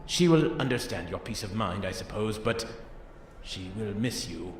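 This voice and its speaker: An actor playing a gentle, sorrowful middle-aged jailer and father, halting and emotional, in an audio drama. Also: lowish voice, lively intonation, a little hiss or hum, natural voice. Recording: echoey room, loud music bed.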